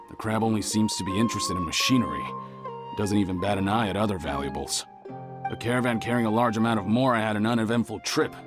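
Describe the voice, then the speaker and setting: An actor voicing a level-headed caravan investigator who reports in a measured way. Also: male voice, fairly low pitch, lightly acted. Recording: game audio with background music.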